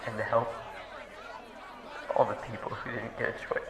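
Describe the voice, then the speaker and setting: A man playing a distressed, earnest man rallying a crowd in an audio drama, stammering and pleading as he makes a halting, emotional speech. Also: mid-range voice, level tone, close to an everyday voice. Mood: pleading, resolute. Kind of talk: audio roleplay acting, impassioned speech. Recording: echoey open-air space with crowd.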